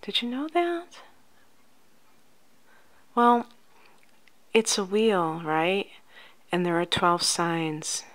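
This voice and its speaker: Slow, soft-spoken ASMR woman. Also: fairly low pitch, big pitch swings. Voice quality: soft, often near-whispered. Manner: gentle, unhurried, soothing, addressing the listener directly. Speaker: ASMR host playing a life coach.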